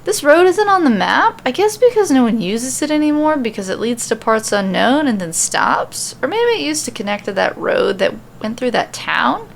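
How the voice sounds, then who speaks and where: A woman's voice: sits high, very animated, normal speed, creaky, hardly any pauses, slight background noise, voice pushed up, heavily acted. A woman voicing a practical female forest sprite who leads a quest in a fan fiction audio story. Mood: musing.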